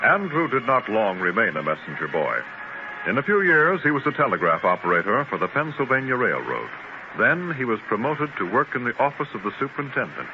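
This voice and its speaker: A man's voice: mid-range voice, sing-song, brisk. A man delivering scripted narration for a 1930s radio drama. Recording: muffled, noisy 1930s radio transcription.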